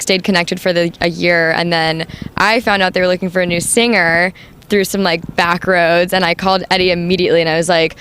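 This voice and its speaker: A young adult woman with a high voice, the band's new singer, answering interview questions casually with many "like"s and "um"s. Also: a bit bright, slight background noise. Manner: chatty, full of "like" and "um".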